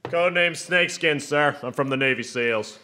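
An actor playing a brisk Navy SEAL commando in a comic radio drama, talking in clipped military jargon. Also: male voice, a little high, bright, forceful tone, a little hiss or hum. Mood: brisk, businesslike.

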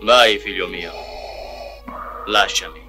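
An actor playing a stern, commanding dark lord and father in an audio storybook. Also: low voice, takes it slowly, over music. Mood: weak, resigned.

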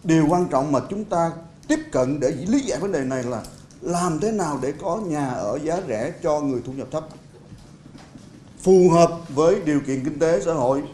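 A man in his forties, a city construction official, giving measured press conference remarks and restarting phrases as he explains. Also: a little high, expressive, dark tone, slight background noise. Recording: roomy hall sound with faint ambience.

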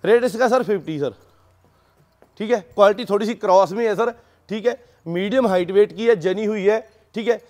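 A man with a notably high voice works as a cattle seller, presenting his stock in an informal sales pitch. He keeps addressing the listener as sir as he talks. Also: normal speed, a little mellow. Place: dairy farm.

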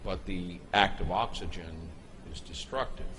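A man giving an unscripted voice-over, explaining footage in a halting way with pauses and restarts. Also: low voice, bright, forceful tone, husky. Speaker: narrator explaining a product video.

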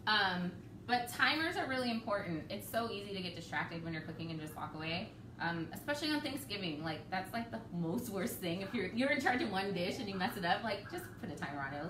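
A woman in her thirties hosting a live home cooking demo, chatty and relaxed, laughing often. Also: mid-range voice, brisk. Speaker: cooking show host. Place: home kitchen, indoors. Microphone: camera across the kitchen. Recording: roomy, distant, noisy home kitchen.

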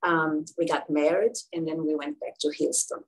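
Brazilian woman in her sixties, a physician guest; low husky voice, halting delivery full of fillers. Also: level tone, rough recording. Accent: Brazilian Portuguese. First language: Portuguese. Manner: halting, many fillers and restarts. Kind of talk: interview storytelling.

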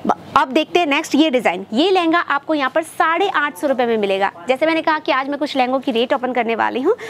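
A young woman presenting clothing in a textile shop, talking to her viewers in a brisk, upbeat sales-pitch manner. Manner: upbeat sales patter, addresses viewers as friends. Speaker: shopping vlogger presenting wholesale lehengas. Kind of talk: product showcase vlog. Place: textile shop full of stacked fabric, indoors.

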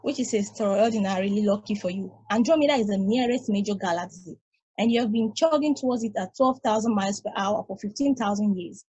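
A weary ancient space probe, read by a woman, talks with calm, patient reasoning. The voice is mellow and slightly husky. It sounds dry and close, like a video call. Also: mid-range voice, fairly quick, dry recording, dull audio, close to an everyday voice. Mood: lecturing. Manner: calm, reasoning, explaining at length.

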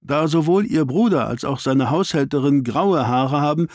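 A man voicing an eager young constable, pitched up. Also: lively intonation, moderate pace, dry recording, clean studio sound, close to an everyday voice. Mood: earnest, tentative. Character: eager, earnest, bashful young male police constable. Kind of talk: dramatised audiobook reading.

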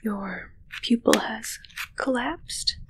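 A woman playing a haughty, fussy witch in a whispered ASMR roleplay, murmuring slowly and condescendingly close to the mic. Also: fairly high pitch, noisy background. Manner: breathy, slow, condescending murmur. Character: haughty, fussy female witch tending her undead familiar. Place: small dark indoor room with curtains. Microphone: close ASMR microphone.

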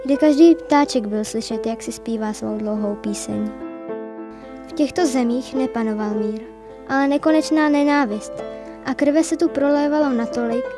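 A woman reading scripted narration of a fairy tale as the storyteller. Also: high-pitched.

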